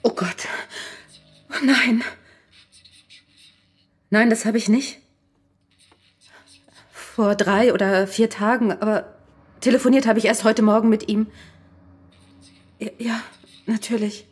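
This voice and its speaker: An actress playing a strained, defiant wife with blunt, curt delivery in a radio crime drama. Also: female voice, a little high, steady tone, slow pace, pauses often, slightly rough. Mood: shocked, bitter.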